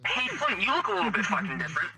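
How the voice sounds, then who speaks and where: A man's voice: high voice, animated, very fast, rough recording. A man doing improvised in-character roleplay in a sardonic, sweary manner. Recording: stream audio with music behind.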